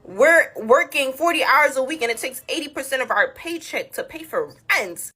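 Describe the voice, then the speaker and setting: A woman's voice: high voice, animated, moderate pace, sharp tone, slightly rough. A young woman on a casual rant, defensive and exasperated as she argues back.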